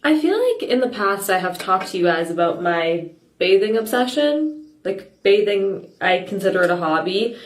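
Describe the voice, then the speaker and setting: A woman's voice: mid-range voice, big pitch swings, moderate pace, soft background music. A young woman, a bit sniffly with a sore throat, chatting casually and rambling to camera at home. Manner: rambling, chatty, upbeat asides. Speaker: vlogger. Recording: echoey room.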